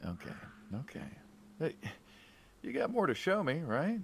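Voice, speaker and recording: An actor playing an affable middle-aged mobster in a story game, gravelly, conversational and coaxing, with quiet menace. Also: male voice, on the high side, sing-song, unhurried, slight background noise. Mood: wry. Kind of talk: naturalistic narrative game voice acting.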